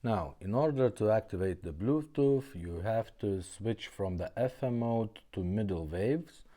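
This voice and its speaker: A man's voice: fairly low pitch, animated, unhurried, warm tone. A man presenting a casual product demonstration in short, simple instructional phrases. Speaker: presenter demonstrating restored car radios.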